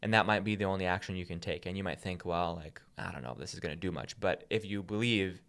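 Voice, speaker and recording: A man's voice: low-pitched, fast talker, fairly bright tone. A man speaking as a podcast host, teaching the Bible in a conversational way and often checking in with "right?".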